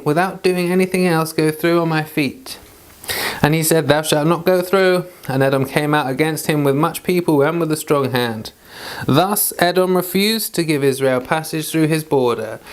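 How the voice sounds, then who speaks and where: A man with a clear voice, reading scripture aloud with informal asides. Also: fairly high pitch. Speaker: Bible reader and commentator.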